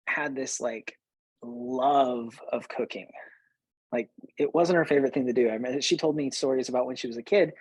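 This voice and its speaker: A man's voice: mid-range voice, a little mellow, slightly rough, no room sound, low-quality recording. A man in his late thirties hosting a show, telling personal stories conversationally and repeating phrases for emphasis. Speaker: host, business coach. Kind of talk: personal storytelling to listeners.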